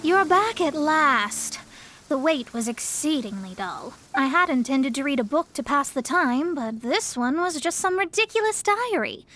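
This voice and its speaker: Brief: proud, bookish young astrologer, bright upper voice, faint music underneath. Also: female voice, sits high, very animated, a little slow, full character voice. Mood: impatient. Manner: poised, lofty, slightly condescending. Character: proud young female astrologist, haughty and scholarly. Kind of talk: video game cutscene voice acting. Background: soft game music.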